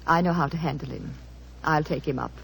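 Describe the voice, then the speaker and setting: A woman's voice: deep voice, a little fast, gravelly. A woman playing a poised, defiant married society lady in 1940s radio drama, formal and firmly polite. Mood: defiant composure. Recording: noisy vintage radio recording.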